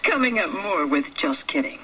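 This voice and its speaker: A woman's voice: medium pitch, sing-song, moderate pace. A woman hosting a live radio show, presenting and interviewing guests in a studio. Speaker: radio host. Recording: dull lo-fi radio, faint noise.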